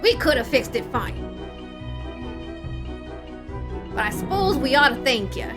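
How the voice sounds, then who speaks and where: A woman's voice: high-pitched, animated, a little fast, music in the background. A woman voicing a grumpy old male dwarf miner from a game, with folksy, grumbling phrasing. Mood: begrudging. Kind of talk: reading game dialogue aloud.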